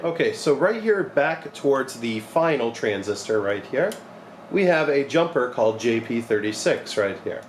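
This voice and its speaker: A young man giving an informal hands-on repair tutorial, explaining step by step in a plain-spoken way. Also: fairly high pitch. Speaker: repair technician demonstrating a modification. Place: small cluttered electronics repair workshop, indoors. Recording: echoey room with clear background noise.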